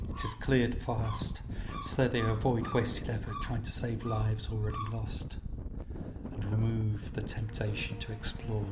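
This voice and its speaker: Man, poetic narrator, lowish voice, measured phrasing, roomy echo. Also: monotone, very noisy.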